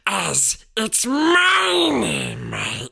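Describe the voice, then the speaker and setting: A woman reading aloud and voicing a defiant young boy who shouts and pleads, close to tears. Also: mid-range voice, huge pitch swings, very slow, vocal fry, breathy edge, pitched above the performer's own voice, full character voice. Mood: pleading.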